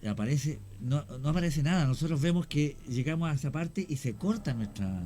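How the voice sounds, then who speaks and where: A man, a radio guest slowly telling a paranormal story with many pauses. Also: fairly high pitch, animated, mellow. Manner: slow, halting storyteller. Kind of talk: radio talk show storytelling.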